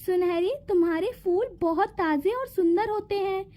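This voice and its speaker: A woman's voice: very high-pitched, moderate pace, warm tone, soft background music. A woman voicing a jealous, scheming fairy in a cartoon, possessive and calculating. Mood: falsely sweet. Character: jealous scheming female fairy who owns a garden.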